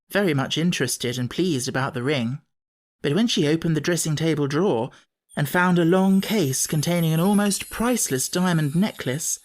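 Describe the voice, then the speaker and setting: Guy narrating a kids audiobook, scripted storybook reading. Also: a little high.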